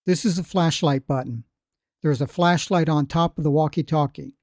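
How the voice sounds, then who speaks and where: A man narrating scripted product instructions in a deliberate, plain, step-by-step way. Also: on the high side, darkish tone, dry recording, clean studio sound. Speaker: product demonstrator.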